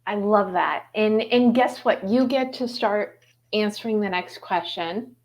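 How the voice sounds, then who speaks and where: A woman's voice: medium pitch, a little slow. A woman moderating a panel of authors, enthusiastic, with frequent stumbling restarts.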